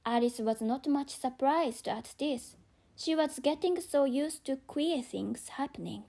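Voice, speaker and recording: Woman reading a kids audiobook, high voice, really slow pace, non-native english accent. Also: vocal fry, dark tone. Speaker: audiobook narrator. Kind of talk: scripted children's story narration.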